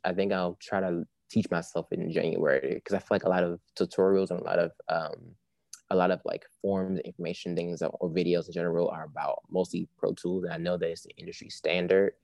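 A musician in his home studio speaks into his studio mic, his voice a touch raspy because he hasn't warmed up. He wanders through his thoughts in loose, slangy talk. The sound is dark and mellow, with a close, dry quality from the padded room. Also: sits low, no room sound. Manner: laid-back, slangy, rambling. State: slightly raspy, not warmed up. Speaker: musician guest. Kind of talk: casual interview chat. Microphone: studio microphone on a video call.

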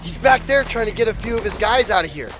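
Man acting an anxious, high-voiced survivor. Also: expressive, fast talker, background noise. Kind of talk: audio drama acting.

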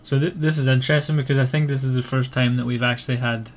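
A man's voice: medium pitch, steady tone, fast talker. Northern Irish man chatting casually. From Northern Ireland. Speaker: podcast host. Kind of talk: casual book club discussion.

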